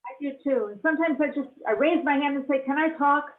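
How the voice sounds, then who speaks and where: A woman's voice: sits high, fast. A woman on a panel, chatting casually over a video call, often restarting her sentences and laughing easily. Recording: tinny phone-like audio.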